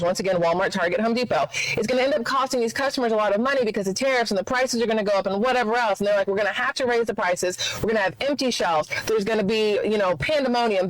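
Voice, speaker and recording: A man with a notably high voice delivers opinionated news commentary. He talks in long, rambling runs and hits his points with indignant emphasis. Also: fairly bright tone, low-quality recording. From United States.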